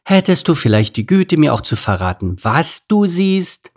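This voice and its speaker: A man's voice: fairly high pitch, sing-song, moderate pace, gravelly, breathy edge, voice pushed up, exaggerated voice. A man voicing a small, curious, cheeky ground squirrel in a children's storybook, inquisitive and impatient.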